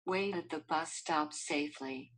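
A synthetic woman's voice reading short phrases from a speech app in a flat, clipped way. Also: low voice, a little slow. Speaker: talking button on a communication app.

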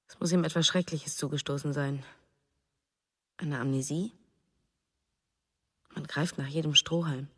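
A woman's voice: sits low, steady tone, measured pace, long pauses, a bit bright, husky, in a large hall, exaggerated voice. An actress playing a grieving young mother in a German radio drama, restrained and pensive, in short phrases.

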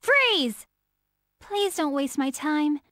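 A woman's voice: high voice, very animated, extremely slow, dry recording, studio quality, exaggerated voice. An actress playing an earnest, easily flustered young female military officer in emotional, dramatic video game battle lines. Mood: stern, formal. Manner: emotional, dramatic, swinging from polite pleas to shouts.

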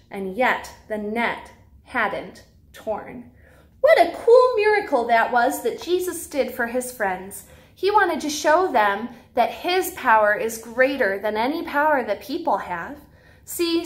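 A young American woman speaking simply and expressively to small children, like a preschool teacher doing a read-aloud. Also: sits high. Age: young adult. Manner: animated, simple and clearly phrased for children. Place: small classroom, indoors.

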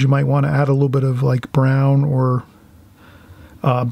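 A man's voice: mid-range voice, a little mellow, dry recording. A man giving an informal watercolor tutorial as the channel's host, rambling a little and often rephrasing himself. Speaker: painting instructor, channel host. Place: indoors at a painting table.

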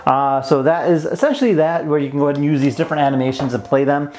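A man's voice: fairly high pitch, fast talker, a little mellow. A young man hosting a casual product demonstration as a toy reviewer. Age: young adult. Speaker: tech toy reviewer and host.

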